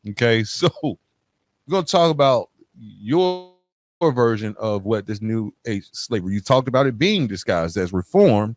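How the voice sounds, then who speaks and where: A man's voice: lowish voice, expressive, a little slow. A man hosting a podcast, interviewing conversationally and restating what his guest has said before asking more. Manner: echoes and restates the guest's points. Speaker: podcast host.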